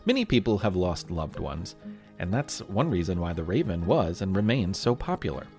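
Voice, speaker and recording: An American man hosts a history podcast in a fairly low, very animated voice. He reads scripted narration like a storyteller addressing young listeners, with faint music underneath. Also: moderate pace. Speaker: podcast host.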